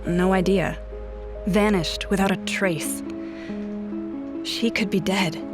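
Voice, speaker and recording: A woman's voice: medium pitch, steady tone, slow pace, lightly acted. A guarded, haunted policewoman played by an actress speaks in terse, brooding lines, as in an audio drama. Prominent music runs behind the voice. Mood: haunted, subdued. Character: guarded, haunted female police officer with criminal father. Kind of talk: scripted audio drama acting with first-person narration. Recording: voice over prominent music bed.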